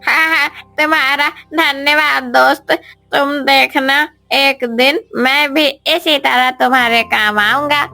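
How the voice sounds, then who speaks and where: A woman's voice: sits high, fairly even intonation, takes it slowly, creaky, sharp tone, faint music behind, heavily acted. A woman voicing a whining, pleading male monkey in a cartoon story. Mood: grateful.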